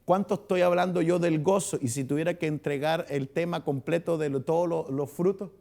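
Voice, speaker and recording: Chilean male pastor, higher gravelly voice, loose chatty Bible-study delivery. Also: fairly even intonation, a little fast, mellow. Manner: chatty, asks the congregation questions, frequent restarts. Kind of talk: conversational Bible study teaching. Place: church hall.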